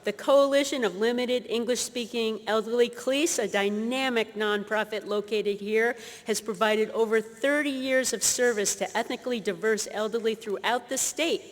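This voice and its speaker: Higher-voiced woman presenting in an echoing hall. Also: steady tone. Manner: formal, deliberate. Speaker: award presenter. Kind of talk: reading award citation. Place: large conference hall. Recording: hall reverb with crowd.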